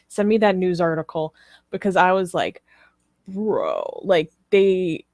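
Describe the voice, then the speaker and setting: A woman co-hosting a political talk show, speaking in an informal, animated and emphatic way with strong opinions. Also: lowish voice, slow pace, dry recording, studio quality. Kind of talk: casual, opinionated live discussion.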